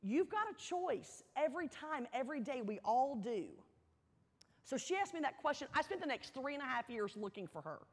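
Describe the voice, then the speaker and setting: Higher-pitched woman speaking in an echoing hall. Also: very animated. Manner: earnest, emphatic, dramatic pauses and repetition. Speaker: motivational speaker at a university welcome event. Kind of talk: motivational storytelling speech to a live audience. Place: large indoor hall, addressing an audience. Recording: echoey large hall with long reverb.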